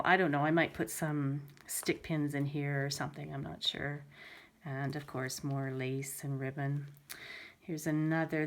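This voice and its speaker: Stuffy-nosed woman with a low, slow voice. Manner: soft, unhurried, with many fillers and restarts. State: stuffy from a head cold. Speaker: crafter showing a handmade journal. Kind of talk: casual show-and-tell vlog.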